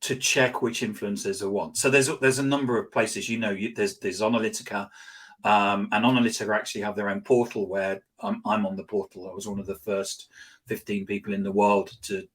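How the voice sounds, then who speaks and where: A man being interviewed as a guest expert, telling anecdotes in a rambling, animated way with lots of restarts and fillers. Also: on the low side, brisk, slightly rough. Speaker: guest, social selling expert. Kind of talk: conversational interview storytelling.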